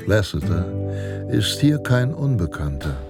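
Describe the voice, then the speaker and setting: Western radio-play narrator, low voice, solemn, measured, plucked guitar behind. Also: male voice, big pitch swings. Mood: solemn, heroic. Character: solemn western narrator telling a lone hero's tale. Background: plucked guitar music.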